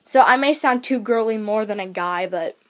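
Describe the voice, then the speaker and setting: A woman's voice: mid-range voice, moderate pace. A young woman casually introducing her amateur voice audition in a hesitant, self-deprecating way.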